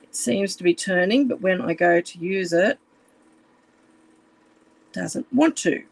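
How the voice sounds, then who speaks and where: A woman with a croaky voice, chatting casually and apologetically on a home live stream while fixing her phone camera. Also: on the low side, expressive, a little slow. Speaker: live stream craft demonstrator. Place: room at home.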